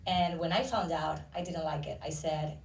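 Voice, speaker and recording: A Dominican-accented woman with a lowish voice answering questions at a festival talk, heard across an echoey room. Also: steady tone, moderate pace, creaky. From Dominican Republic. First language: Spanish. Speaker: actor and filmmaker interviewed. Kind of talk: casual interview answers. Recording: roomy echo, distant microphone.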